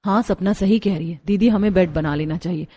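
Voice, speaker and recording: A woman's voice: on the low side, a little fast, mellow, husky. A woman voicing a practical, resourceful young Indian daughter-in-law in a Hindi cartoon story. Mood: earnest.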